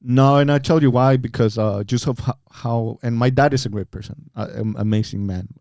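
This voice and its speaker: Podcast guest, young man, outspoken and emphatic, warm low timbre. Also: steady tone, a little fast, no echo, clean studio sound. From Mexico. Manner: blunt, swears freely, emphatic. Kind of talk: casual chat.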